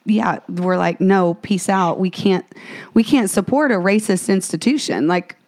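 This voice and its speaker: A woman co-hosting a faith podcast, talking with earnest fervor. She restarts her sentences often and drifts into a preacher-like cadence. Her voice sits at an ordinary middle pitch for a woman. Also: a little slow, darkish tone. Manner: fervent, preachy, many restarts. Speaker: podcast co-host.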